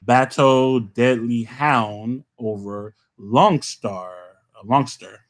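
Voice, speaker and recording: A man hosts a casual podcast in an easygoing voice of middling pitch. He talks loosely and tacks "man" onto almost every phrase as he gives shout-outs. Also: very slow. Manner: laid-back, says "man" constantly, shouting out players. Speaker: podcast host.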